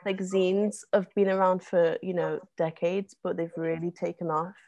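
A woman, a guest on a casual podcast, talking with many ums and likes and getting animated in bursts. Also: fairly low pitch, moderate pace. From North West England. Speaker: interview guest, zine co-founder.

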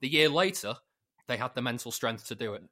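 A man's voice: mid-range voice, lively intonation, sharp tone. A man on a football podcast chatting casually, often restarting and repeating words as he thinks. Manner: halting, repeats words as he gathers his thoughts. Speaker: podcast panelist.

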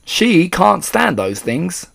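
A man's voice: high voice, big pitch swings, slow pace, gravelly, pitched above the performer's own voice, exaggerated voice. A man voicing an angry, blustering middle-aged English father in a storybook, rude and spluttering. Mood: shocked. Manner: rude, shouting, spluttering, stammering when shocked.

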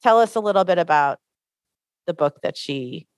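A woman's voice: on the low side, expressive, normal speed, noisy background. A woman librarian co-hosting a podcast, easygoing and chatty in casual talk. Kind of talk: casual chat. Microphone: studio microphone.

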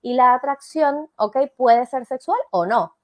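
A woman's voice: sits high, animated, unhurried, darkish tone. A woman from Venezuela with a Venezuelan accent, a coach explaining her ideas in an interview, with frequent fillers and tag questions. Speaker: life coach guest. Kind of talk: interview conversation.

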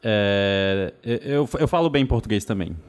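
A man's voice: fairly low pitch, measured pace, slight background noise, natural voice. A Brazilian man improvising a boastful, stammering 1800s encyclopedia salesman with a Brazilian accent. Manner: boastful, stammering fake-British salesman patter. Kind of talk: improv comedy game.

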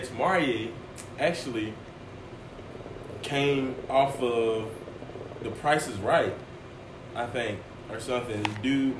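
A man, chatting casually and saying "man" often. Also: on the low side. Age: 20s. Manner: laid-back slangy talk, says "man" a lot. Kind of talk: casual chat. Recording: echoey room with noise.

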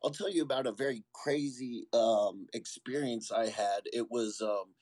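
A man's voice: mid-range voice, normal speed, a little mellow, no room sound. A man, a former Marine, telling his own story as an interview guest in a candid, earnest way, often stopping and restarting.

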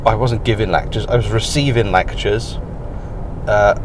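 Man, croaky, low voice, dry rambling host, in-car recording, clear road noise. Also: fairly even intonation, moderate pace. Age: adult, past university. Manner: rambling, dry self-mocking asides. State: croaky early-morning voice. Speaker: podcast host. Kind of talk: casual solo podcast while driving. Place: inside a car on a commute.

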